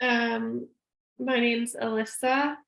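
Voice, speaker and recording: A woman from Canada speaks slowly and evenly, in a slightly high voice, on a video call. She sounds measured and tentative. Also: fairly even intonation, vocal fry, fairly bright tone, echoey room. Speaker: realtor and business owner. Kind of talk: casual self-introduction.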